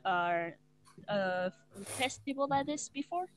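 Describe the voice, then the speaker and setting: Hesitant young Vietnamese woman, slow. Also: mid-range voice, expressive. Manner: hesitant, searches for words. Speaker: English student. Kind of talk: casual video-call lesson. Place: small room indoors. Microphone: wired earphone mic.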